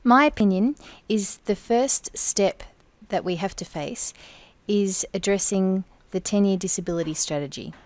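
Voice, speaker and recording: A woman interpreting for an interview guest in the first person, speaking in measured, deliberate phrases. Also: medium pitch, no room sound. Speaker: sign language interpreter voicing the guest.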